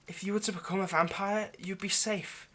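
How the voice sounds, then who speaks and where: A man's voice: high-pitched, steady tone, normal speed. A man playing an earnest, lovestruck vampire in an intimate roleplay monologue, speaking hesitantly and persuasively. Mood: hesitant, reassuring. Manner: hesitant, stammering, gently persuasive. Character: earnest, lovestruck male vampire coaxing a partner.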